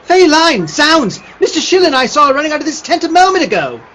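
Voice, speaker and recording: A pompous ham of a stage thespian for an old radio serial. The voice is pitched high and swoops grandly, savouring every word with theatrical flourish. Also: male voice, big pitch swings, a little fast, fairly bright tone, slight background noise, exaggerated voice. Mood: shocked, exclaiming. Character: pompous, hammy male old-time thespian. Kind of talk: 1940s radio acting.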